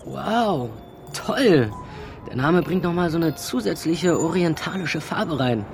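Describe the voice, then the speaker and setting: A flippant young slacker stuck in a simulation. He sounds slightly high for a man and talks in sarcastic, impatient slang, radio drama style, with music underneath. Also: animated, slow pace, gravelly, full character voice. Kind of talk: German radio play acting and narration.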